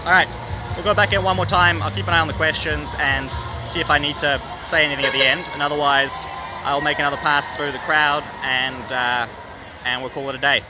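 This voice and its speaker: A hoarse man giving rambling, exasperated live commentary on a phone livestream outdoors. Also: on the high side, steady tone, brisk, low-quality recording. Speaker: livestreaming editor at a rally. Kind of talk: casual live commentary to camera. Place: outdoor city square at a rally. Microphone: phone camera livestream. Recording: loud crowd and music, phone mic outdoors. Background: loud crowd shouting and music.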